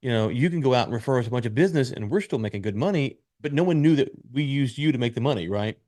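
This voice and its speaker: A man's voice: mid-range voice, expressive, fast talker, a little mellow, no echo, studio quality. A man in his late thirties hosting a podcast interview, chatting casually and rambling with restarts. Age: 30s. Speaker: podcast host.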